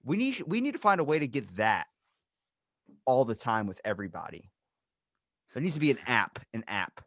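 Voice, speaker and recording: A man's voice: medium pitch, normal speed, vocal fry, dry recording. A young man hosting a podcast, talking in a loose, casual way with some swearing, acting out little scenes as he goes. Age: young adult. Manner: loose, profane, animated, acts out little scenes. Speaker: podcast host.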